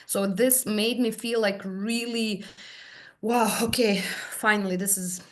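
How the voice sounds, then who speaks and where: A woman, a therapy client describing her experience in halting, searching speech full of restarts. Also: medium pitch, fairly even intonation. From Serbia. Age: 30s. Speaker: hypnotherapy client guest. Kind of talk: personal testimony in conversation.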